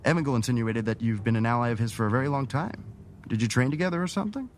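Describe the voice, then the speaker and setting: A man playing a composed, probing city councilman with hidden schemes, in a measured radio drama performance. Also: medium pitch, faint music behind, lightly acted. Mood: cordial, probing.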